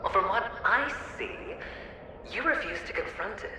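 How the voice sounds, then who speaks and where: A man voicing a smug, teasing young man in a video game cutscene, speaking in a sardonic, deliberate way. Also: high voice, expressive. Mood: taunting. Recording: very echoey game audio with ambient noise.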